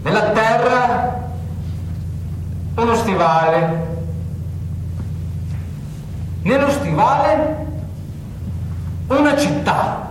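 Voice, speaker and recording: In a very echoey, noisy stage space, the eldest brother at his ham radio. His voice sits high for a male, and he declaims to the world like a ritual call sign, theatrical and repetitive. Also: lively intonation, drawn-out words, pauses often, full character voice. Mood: declamatory, insistent. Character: gruff eldest brother, amateur radio operator addressing the world. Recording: very echoey stage recording, clear background noise.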